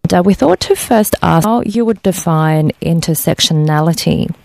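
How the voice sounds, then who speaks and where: Low-voiced female radio producer asking questions. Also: moderate pace, vocal fry, a little mellow, no room sound. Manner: brief, plain questions. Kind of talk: interview questions.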